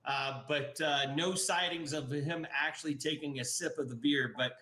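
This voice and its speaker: A man's voice: a little high, steady tone, moderate pace, pushed and bright. A middle-aged man in a casual video-call interview, talking about his brewery with lots of fillers and restarts. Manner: enthusiastic, many fillers and restarts. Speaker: brewery guest. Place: indoor office room. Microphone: video call webcam. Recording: roomy webcam audio.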